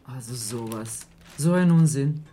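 Low-voiced, blunt, scornful woman. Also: a little slow, warm tone, husky, heavily acted. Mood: scornful, exasperated. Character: blunt, exasperated sceptical woman scoffing at superstition. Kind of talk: radio drama acting.

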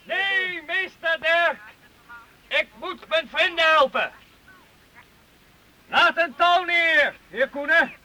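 Earnest youth, very high and sharp. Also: male voice, takes it slowly, long pauses, heavily acted. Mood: determined, pleading. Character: earnest, determined medieval youth. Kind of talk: old radio play acting.

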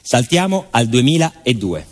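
Theatrical male narrator, deliberate and emphatic. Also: medium pitch, very animated. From Salento, southern Italy. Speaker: stage narrator and actor.

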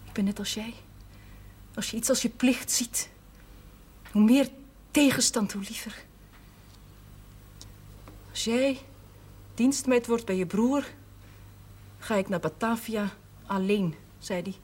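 An actress playing a self-sacrificing priest's housekeeper in a television drama, earnest, plain-spoken and resigned. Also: female voice, on the high side, slow pace, long pauses, darkish tone, slightly rough. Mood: subdued, regretful. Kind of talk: naturalistic television drama acting. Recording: noisy old television soundtrack.

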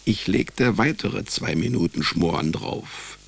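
A man's voice: lowish voice, animated, takes it slowly, creaky, breathy edge, heavily acted. An actor playing a wry, world-weary male private detective, narrating a radio drama in a dry, ironic, laconic manner. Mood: dry, suspicious. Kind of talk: radio drama first-person noir narration and dialogue.

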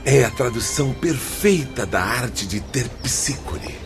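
An actor playing a dignified, lofty poet's spirit in a Brazilian radio drama, with a pompous, declamatory manner. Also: male voice, medium pitch, expressive, slow. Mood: enthusiastic. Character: Vitor Hugo, dignified, lofty poet spirit. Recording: music under the voice.

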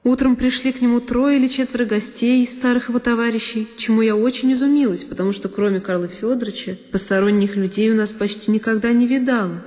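A woman actress giving an expressive, reflective narration in a one-woman audio play. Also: on the high side, fast talker, hardly any pauses. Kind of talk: scripted literary narration. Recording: long reverb with violin music. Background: solo violin.

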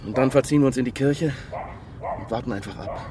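An actor playing a blunt, restless bank robber in a radio crime drama, with a brisk colloquial delivery. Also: male voice, medium pitch, over music, natural voice. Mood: blunt, practical. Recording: long reverb of a hall.

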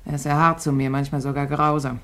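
A woman's voice: low voice, level tone, quick pace, fairly bright tone. An actress in a 1950s German radio drama playing a hard-living, guarded young street woman, blunt, bitter and fatalistic. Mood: bitter resignation.

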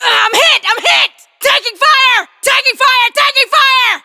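A woman's voice: extremely high pitch, unhurried, sharp tone, exaggerated voice. A woman voicing a tough female soldier in a video game, barking clipped, urgent military callouts.

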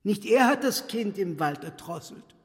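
A man voicing an impertinent talking tomcat demon in a radio play, creaky and mock-polite as he lectures. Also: sits high, expressive, moderate pace. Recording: long hall reverb.

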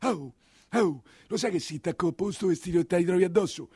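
Man playing taunting husky Roman hunchback thug. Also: high-pitched, a little fast, a little mellow, dry recording, studio quality, voice pushed up. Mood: taunting, greedy. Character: hunchback thug disguised as executioner, taunting and greedy. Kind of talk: theatrical monologue character voice.

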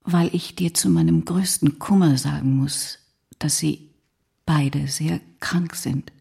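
A grave, gentle headmaster's wife in a radio play. Her low voice is very breathy and creaky, dark in tone. She speaks solemnly and hesitantly, often half-whispering. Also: fairly even intonation, slow, full character voice. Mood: grave, sorrowful. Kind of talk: literary radio play acting.

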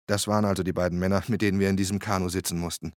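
Tiefer Männererzähler, trockene Aufnahme, Hörspiel von einem Schauspieler. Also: male voice, low voice, flat delivery, racing, no echo, clean studio sound, natural voice. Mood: recounting, weary. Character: male hurricane survivor, photographer, recounting his rescue. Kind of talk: dramatized documentary monologue in radio drama.

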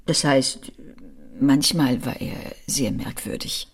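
Slow, husky, formal society wife. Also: female voice, rumbling low, steady tone, full character voice. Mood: hesitant. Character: hesitant, formal wife of a millionaire. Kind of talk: radio drama acting.

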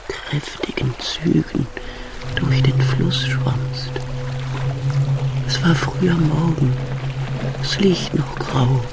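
Frail old granny in a radio drama, low gravelly voice, slow n reflective, music behind her. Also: exaggerated voice. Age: older. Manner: slow, halting, reflective storytelling. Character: frail, wistful old grandmother remembering childhood and war.

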